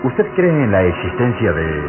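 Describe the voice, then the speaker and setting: An actor playing a stern, suspicious police inspector in an old radio serial, formal, firm and probing. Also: male voice, on the low side, big pitch swings, normal speed. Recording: tinny old radio recording, faint music.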